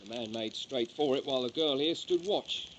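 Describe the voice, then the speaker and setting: A man acts a callous, boastful conspirator in a period audio drama. His voice is mid-pitched and matter-of-fact. Noise is clear on the recording. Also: normal speed, natural voice. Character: cold, boastful, callous gunpowder plotter. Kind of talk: historical audio drama acting.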